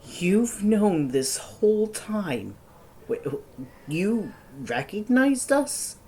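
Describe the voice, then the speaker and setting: A woman's voice: mid-range voice, sing-song, extremely slow, a little mellow, slight background noise. A woman playing a mouthy, sarcastic young fiancé in an audio drama. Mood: flustered. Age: young adult. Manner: sardonic, biting.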